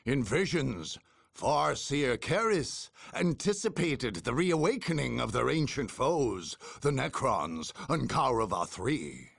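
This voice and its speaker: A man acting as a grave, theatrical narrator telling a sci-fi war saga in a measured, scripted game style. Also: mid-range voice, very animated, vocal fry, fairly bright tone, breathy edge, dry recording, clean studio sound, heavily acted. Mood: solemn, ominous.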